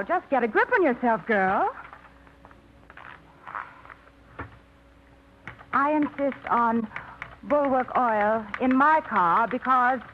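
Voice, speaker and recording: A flustered small-town librarian. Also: female voice, on the high side, a little hiss or hum. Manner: flustered, self-scolding, laughing it off. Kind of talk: 1950s radio drama acting. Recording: dull old radio broadcast.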